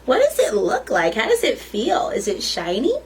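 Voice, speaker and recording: A woman's voice: on the high side, huge pitch swings, moderate pace, slight background noise. A woman reading a children's picture book aloud as a storytime reader, expressively and with questions to the listeners.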